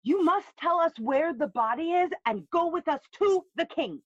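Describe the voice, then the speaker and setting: A woman's voice: very high voice, animated, normal speed, no echo, muffled recording. A woman playing an anxious male courtier in a classical audio play, urgent yet deferential.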